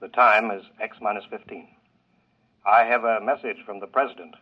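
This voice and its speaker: An actor playing a launch tower controller with clipped, official delivery, in a 1950s radio drama. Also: male voice, lowish voice, fairly even intonation, normal speed, phone-quality sound. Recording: husky telephone-thin radio sound.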